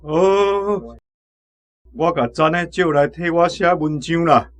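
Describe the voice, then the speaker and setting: An actor playing a senior army chief of staff with a Taiwanese accent, drawling and commanding, in a radio drama. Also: male voice, a little high, slow, faint music behind. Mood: blustery.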